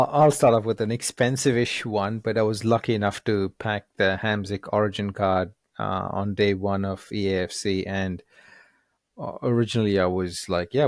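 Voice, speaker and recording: A man's voice: deep voice, no echo, clean studio sound. A man co-hosting a podcast, talking in a chatty, enthusiastic way. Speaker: podcast co-host. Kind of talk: casual podcast chat.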